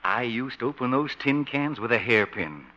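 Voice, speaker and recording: An actor playing a lonely, mild old reformed safecracker in a 1950s radio comedy. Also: male voice, mid-range voice, lively intonation, normal speed, close to an everyday voice. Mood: wistful, sly. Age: older. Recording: muffled, noisy old radio recording.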